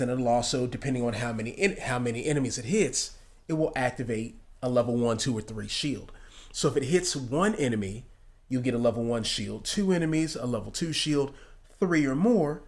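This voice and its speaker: A man giving casual game commentary, informal and thinking aloud as he goes. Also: mid-range voice. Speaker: gaming video creator.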